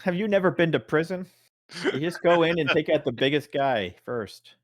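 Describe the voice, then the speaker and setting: A man's voice: on the high side, expressive, normal speed, dry recording. A man playing in a tabletop game, cracking jokes and teasing the others. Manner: joking, teasing. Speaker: player. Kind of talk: casual tabletop game chat.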